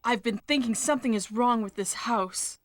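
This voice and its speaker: A woman's voice: fairly high pitch, moderate pace, no room sound, studio quality, lightly acted. An actress playing an earnest, worried young female rescue operative in a 1990s video game, with stiff, over-enunciated delivery. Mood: puzzled, uneasy.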